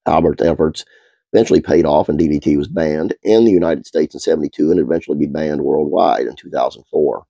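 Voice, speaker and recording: Stuffed up middle-aged guy doing a trivia vid at home, gravelly dark voice, lots of uhs. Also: a bass voice, dry recording. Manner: relaxed, halting with frequent uhs. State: congested, stuffed-up nose. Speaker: host. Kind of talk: casual trivia narration.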